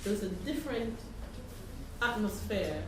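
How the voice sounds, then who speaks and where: A woman's voice: mid-range voice, animated, a little slow. A woman giving a reflective account in a group discussion, searching for her words as she goes. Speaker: community meeting participant. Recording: echoey room, distant mic, noisy.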